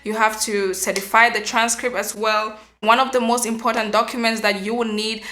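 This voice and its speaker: A young woman from Cameroon, giving earnest step-by-step advice in a vlog, often pausing on "um" and restarting. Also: a little high, little pitch movement, sharp tone, a little hiss or hum. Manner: earnest, explanatory, frequent um fillers and restarts. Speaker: vlogger.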